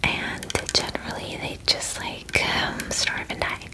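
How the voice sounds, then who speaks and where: A woman voicing a chatty, clingy female dragon in a breathy ASMR whisper, gushing casually like a best friend in a fantasy roleplay. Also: takes it slowly, lightly acted. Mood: mildly miffed, chatty. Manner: gushing valley-girl chattiness, filler-heavy, casual.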